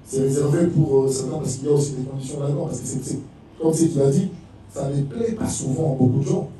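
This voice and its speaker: A man's voice: on the high side, fast, dark tone. A man speaking conversationally in an informal panel discussion. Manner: conversational, checks listener follows. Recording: very echoey hall, distant mic, faint music.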